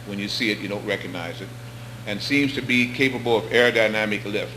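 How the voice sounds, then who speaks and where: A man's voice: fairly low pitch, a little fast, background noise. A middle-aged man giving a public lecture at a podium in a hall, talking conversationally and rising to emphatic points. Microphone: stand mics on stage.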